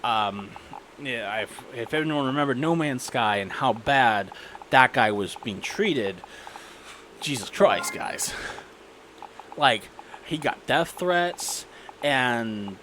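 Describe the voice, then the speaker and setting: A man's voice: medium pitch, expressive, slow pace, a bit bright. Casual, sweary man over background noise. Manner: sweary, irreverent. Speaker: gaming video creator narrating gameplay. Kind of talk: casual rambling game commentary with storytelling.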